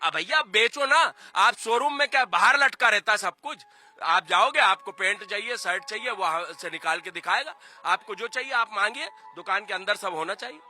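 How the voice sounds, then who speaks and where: A man's voice: high-pitched, expressive, normal speed, bright, forceful tone. A man arguing emphatically in a street interview, repeating himself and asking rhetorical questions. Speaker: activist spokesman for a cultural-religious organisation. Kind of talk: street news interview, opinionated argument.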